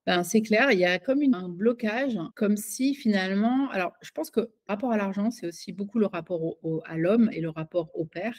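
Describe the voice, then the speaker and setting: A woman's voice: mid-range voice, moderate pace, few pauses, darkish tone. A woman giving an interview as a coach and author, talking in a hesitant way with many fillers and restarts. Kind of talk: casual conversational interview.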